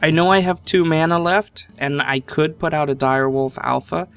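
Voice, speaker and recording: A man commentating his card game match casually, thinking aloud at an unhurried pace, his voice a little congested from a sinus infection. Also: fairly high pitch, gravelly, a bit bright, clean tone, faint music behind.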